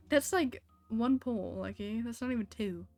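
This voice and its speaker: A woman with a fairly high voice chats casually as she streams a game. She talks half to herself in short, blunt questions and reactions, with faint music behind her. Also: animated, slow. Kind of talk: casual livestream chat over gameplay.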